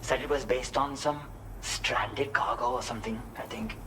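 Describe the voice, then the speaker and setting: A man's voice: mid-range voice, steady tone, moderate pace, close to an everyday voice. An actor playing a polite, earnest man in a naturalistic video game scene. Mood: grateful, earnest. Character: polite, grateful man speaking of his father. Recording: distant voice over noisy ambience.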